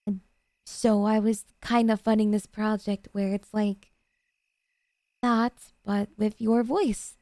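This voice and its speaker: A woman playing a doting, jealous yandere girlfriend in an ASMR roleplay, coaxing and sweetly earnest with hesitant pauses. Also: mid-range voice, level tone, mellow, no room sound, studio quality. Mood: sheepish, adoring.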